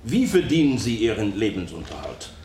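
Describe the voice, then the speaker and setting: A man's voice: lowish voice, big pitch swings, moderate pace. An actor voicing a male courtroom questioner who asks formal, probing questions in a documentary reenactment. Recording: echoey room with faint noise.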